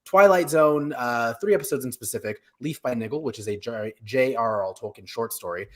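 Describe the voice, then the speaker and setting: A man's voice: mid-range voice, a little fast. A middle-aged man co-hosting a casual live pop culture show on a video call from home, talking in a joking, conversational way. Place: home room, indoors.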